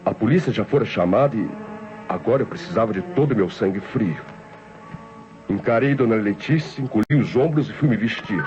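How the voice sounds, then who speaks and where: An actor playing a scheming, nervous nephew who narrates theatrically in an old Brazilian radio drama. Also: male voice, fairly low pitch, animated, a little fast, a little mellow, close to an everyday voice. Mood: calculating. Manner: theatrical, tense storytelling. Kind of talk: dramatic first-person radio narration, old-time acting. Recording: dull old radio recording with music.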